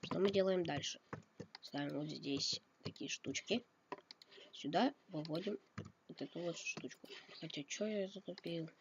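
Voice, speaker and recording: A man's voice: a little high, measured pace, gravelly. A slightly ill man giving a casual game tutorial, thinking aloud and correcting himself, coughing now and then. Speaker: game tutorial video maker. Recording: dull lo-fi mic with noise. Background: keyboard and mouse clicks.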